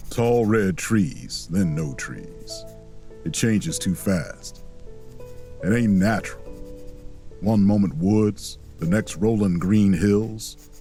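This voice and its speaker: A slow, low-voiced reflective traveler. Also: male voice, expressive, dark tone, faint music behind, exaggerated voice. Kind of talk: audio drama acting with inner narration.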